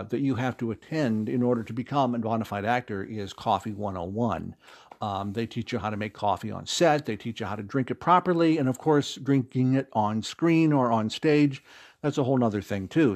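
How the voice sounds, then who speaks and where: A man answers interview questions in a casual, conversational way. He talks expressively and rambles like a joking storyteller, at an ordinary middle pitch. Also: a little mellow. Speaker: interview guest, audio drama writer and actor.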